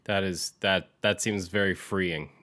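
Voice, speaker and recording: A man hosting a podcast, asking questions in a relaxed, easygoing way. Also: low voice, a little slow, no room sound, clean studio sound. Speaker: podcast host. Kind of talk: casual interview questions.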